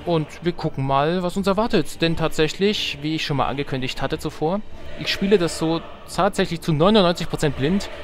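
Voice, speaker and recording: A man's voice: fairly high pitch, a little fast. A young adult man doing casual let's play commentary, chatty and thinking aloud as he goes. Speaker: let's player. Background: game music.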